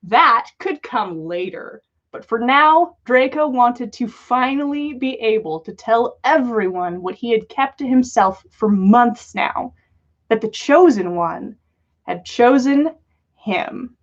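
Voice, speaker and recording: A woman with a fairly high voice speaks at a very unhurried pace. She is a playful, giggly host sharing stories in a casual livestream style. The audio is somewhat dull and lo-fi. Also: lively intonation. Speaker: podcast host reading listeners' stories aloud. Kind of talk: storytelling read-aloud with casual chat on a livestream.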